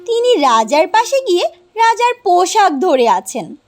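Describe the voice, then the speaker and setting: Slow, high-voiced woman telling a fairy tale. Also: very animated. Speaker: narrator. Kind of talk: scripted fairy-tale narration.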